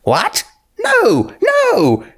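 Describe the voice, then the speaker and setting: Flustered young pony princess, played by a man, high voice, swooping and pleading, storybook comedy. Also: wildly swooping pitch, extremely slow, a bit bright, exaggerated voice. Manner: pleading, indignant. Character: young female pony princess, flustered, protesting her innocence.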